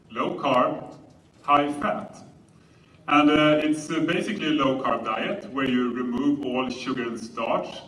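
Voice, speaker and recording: A man with a Swedish accent presents to an audience in a bright, clear-cut tone. His delivery is measured and explanatory, like a conference talk. The sound is roomy and picked up from a distance, with a little noise. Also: medium pitch. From Sweden. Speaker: conference speaker. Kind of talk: public presentation lecture.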